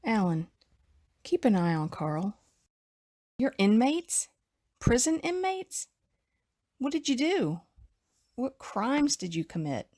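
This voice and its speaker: A woman playing a wary, expressive survivor in a dramatized comic. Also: fairly high pitch, slow, long pauses, no echo, studio quality, heavily acted. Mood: alarmed.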